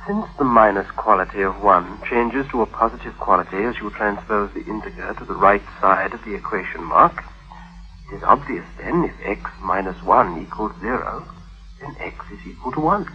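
An actor playing a bold, precise young algebra tutor in an old radio drama, speaking in a crisp, pedantic, formal way. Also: male voice, lowish voice, moderate pace, close to an everyday voice. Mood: brisk, instructive. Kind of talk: 1950s radio drama acting. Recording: dull vintage radio recording.

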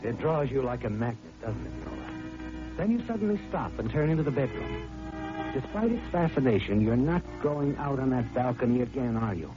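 A man's voice: mid-range voice, very animated, moderate pace, natural voice. A man acting as a brooding, accusing narrator in a vintage radio suspense drama, speaking straight to the guilty listener in an insinuating, dramatic way. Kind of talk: 1940s radio suspense narration in second person. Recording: muffled old radio recording with music.

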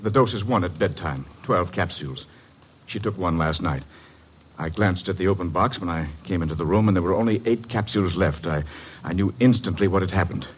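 An actor playing an anxious, defensive husband in an old-time radio drama, husky, hesitant and agitated. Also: male voice, deep voice, brisk, poor audio. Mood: shaken, explaining. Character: anxious, defensive married man, newly widowed.

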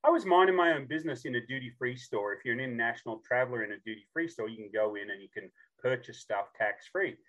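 An Australian man in his fifties telling stories casually as a podcast guest, jokey and animated as he acts out bits of dialogue. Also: medium pitch, fast, few pauses, highs cut off. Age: 50s. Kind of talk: casual storytelling.

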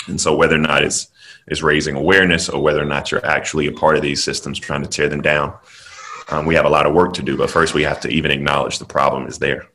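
A man from South Carolina, speaking as a podcast guest. He talks in an earnest, measured way with frequent ums. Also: rumbling low, level tone, bright, forceful tone. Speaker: guest political commentator. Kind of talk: podcast interview.

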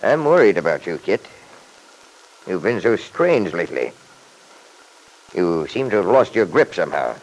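An actor playing a wealthy, arrogant middle-aged father in a classic radio soap, gruff, blustering and short-tempered. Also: low voice, sing-song, normal speed, pauses often, low-quality recording. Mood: concerned, confident.

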